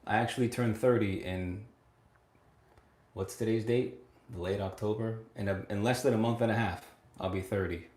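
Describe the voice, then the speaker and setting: A young man in his late twenties chats through a livestream, reading out viewer comments and answering them. He speaks a little low, laughs often, repeats himself and keeps checking in with "right?". The sound rings around an echoey room. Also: fairly even intonation, normal speed, darkish tone. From United States. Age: 20s. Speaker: livestream host.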